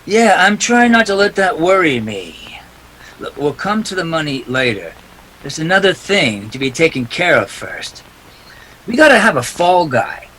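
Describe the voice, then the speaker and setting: A tough noir private eye from a 1940s radio play, acted by a man, with a forceful, fairly high voice. He is clipped, wisecracking and defiant, and his delivery is very animated. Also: a little slow, slight background noise, heavily acted, poor audio. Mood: firm bargaining. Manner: hard-boiled, clipped, defiant. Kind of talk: 1940s radio drama acting with first-person narration.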